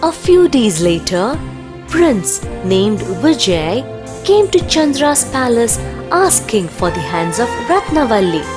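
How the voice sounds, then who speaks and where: A woman narrates children's stories from a script. Her voice is a little high and very melodic, delivered slowly with music clearly behind it. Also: sing-song.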